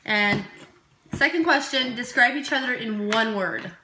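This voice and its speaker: A young woman in her early twenties joins her sister's casual vlog, playful and quick to move things along. Her voice is high, and the room around her sounds echoey with clear background noise. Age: early 20s. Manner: playful, keeps moving on with quick asides. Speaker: co-host sister. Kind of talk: casual chat. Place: indoor room, sitting side by side.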